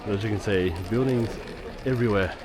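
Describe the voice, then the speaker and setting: A man with a hoarse voice from a lingering cough, giving chatty walking-tour commentary on busy city streets. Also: fairly low pitch, brisk, a crowd in the background. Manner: chatty, rambling, with fillers. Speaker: travel vlogger. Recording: outdoor street noise. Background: city street noise and traffic.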